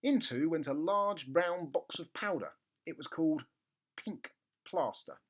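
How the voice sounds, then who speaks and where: A man's voice: fairly high pitch, lively intonation, unhurried, a bit bright. Man reading a children's story with theatrical emphasis. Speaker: storybook reader. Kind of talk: expressive children's book narration.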